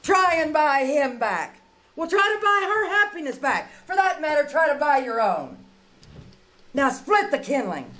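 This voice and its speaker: Brisk, kindly 1940s radio heroine, higher-pitched female voice, played by a stage actress. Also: very animated, fairly bright tone, exaggerated voice. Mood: chiding. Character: Charlotte, brisk kindly woman, scolding then hopeful. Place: live community theater stage performance.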